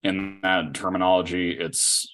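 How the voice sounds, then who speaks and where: A man with a low voice and very flat intonation speaks as a guest on a podcast. His delivery is informal and conversational, like someone casually discussing a hobby. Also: measured pace. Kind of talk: casual podcast chat about anime.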